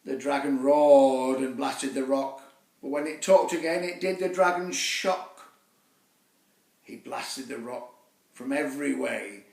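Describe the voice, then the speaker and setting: A man recites a children's story poem in a roomy, echoing space. He sits a little away from the microphone. His delivery is slow and deliberate, with theatrical storytelling phrasing. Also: mid-range voice, a little mellow. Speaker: poet reading his own children's poem. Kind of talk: dramatic rhymed storytelling for children. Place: echoey room, away from the mic. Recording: roomy echo, distant microphone.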